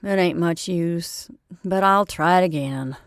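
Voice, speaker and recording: A woman voicing a thin, impatient man posing as Santa Claus, speaking crisply and sneeringly. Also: fairly low pitch, unhurried, no echo, studio quality, close to an everyday voice.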